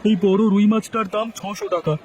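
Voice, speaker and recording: Brief, male actor: high, salesmanlike fishmonger for a cartoon, distant, faint crowd around. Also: flat delivery, fairly quick, a little mellow, natural voice. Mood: eager. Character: eager male market fishmonger quoting a bargain. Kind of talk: cartoon story dialogue. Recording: distant voice amid outdoor crowd noise.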